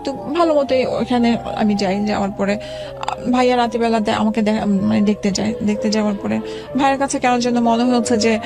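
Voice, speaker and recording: A woman in her forties, a guest telling her own life story in an interview, speaking haltingly with many pauses and restarts. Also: on the high side, steady tone, gravelly. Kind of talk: emotional personal account in an interview. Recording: background music under the talk.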